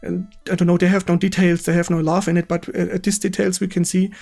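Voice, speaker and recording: A man with a German accent hosting a casual gaming stream interview in English, speaking haltingly and repeating words with effusive enthusiasm. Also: high-pitched, flat delivery, vocal fry, a little mellow, faint music behind. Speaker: host of a retro gaming stream.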